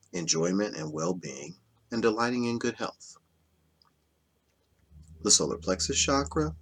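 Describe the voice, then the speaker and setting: A man reading spiritual self-help nonfiction aloud in a measured, instructional way. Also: sits low, gravelly, fairly bright tone, slightly rough. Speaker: reader of a self-help book.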